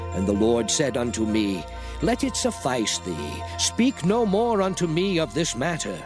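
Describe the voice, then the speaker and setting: A solemn male prophet addresses his people in a dramatized scripture reading. The voice sits fairly high and swings widely in melody as he declaims in archaic, oratorical phrases. Music plays clearly behind him. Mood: stern, solemn. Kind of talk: dramatized King James Bible reading.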